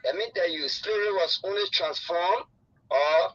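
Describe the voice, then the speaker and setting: A man with a Liberian accent calling a talk show, making opinionated political points deliberately and rephrasing them as he goes. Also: high-pitched, a bit bright, breathy edge. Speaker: phone-in caller. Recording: dull lo-fi call audio.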